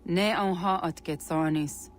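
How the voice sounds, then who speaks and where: A woman's voice: on the low side, steady tone, unhurried, close to an everyday voice. A woman playing a grave village elder in a video game scene.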